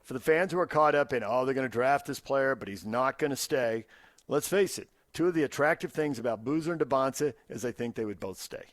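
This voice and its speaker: An American man with a mid-pitched voice talks sports as a radio co-host. His delivery is conversational and discursive, and the recording is dry with very little room sound. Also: brisk, dry recording. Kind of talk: casual sports talk commentary.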